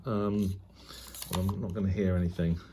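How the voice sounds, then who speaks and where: A man with a fairly low voice talks casually through a hands-on demo. He is hesitant, working things out aloud as he goes, like an enthusiast presenting to fellow hobbyists. There is some background noise behind him. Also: level tone, normal speed, mellow. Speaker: shortwave radio hobbyist presenting a video. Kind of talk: casual unboxing and demo commentary. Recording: clear background noise and ambience.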